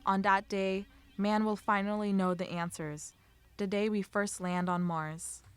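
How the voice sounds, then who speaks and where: A woman plays the announcer of an old-time science fiction radio show. Her medium-pitched voice narrates with solemn, portentous drama. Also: flat delivery, unhurried, clean tone, lightly acted.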